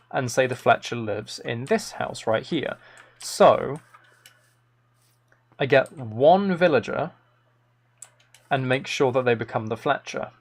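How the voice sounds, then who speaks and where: A man hosting a live game stream in casual chat, rambling playfully and thinking aloud into a close microphone. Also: mid-range voice.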